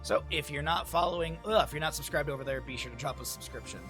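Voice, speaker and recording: A hoarse man casting a live-streamed esports match, chatty and thinking aloud, often correcting himself. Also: on the high side, fast, music in the background.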